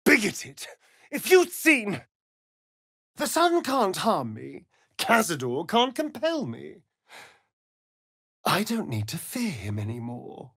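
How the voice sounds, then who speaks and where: A man's voice: high-pitched, wildly swooping pitch, slow pace, long pauses, fairly bright tone, breathy edge, no room sound, clean studio sound, full character voice. An actor playing a sardonic, vain male vampire rogue in a video game, with a British accent and an arch, drawling, theatrical delivery. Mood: indignant, exasperated, then earnest, intense. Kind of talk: video game character voice lines.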